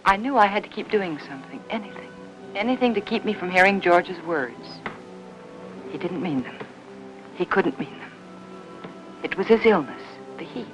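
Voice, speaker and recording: An actress playing an anxious, gentle housewife in 1950s Hollywood melodrama, earnest and placating. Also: female voice, on the low side, expressive, normal speed, pauses often, close to an everyday voice. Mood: hurt, confiding. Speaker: actress in lead role and narrator. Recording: dull vintage film soundtrack with music.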